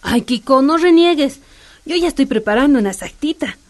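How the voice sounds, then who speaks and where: Radio soap: easygoing, talkative Bolivian wife, female actor with a high voice, placating a grump. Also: lively intonation, moderate pace, a little mellow. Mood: soothing, chatty. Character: easygoing, chatty homemaker wife soothing her grumpy husband.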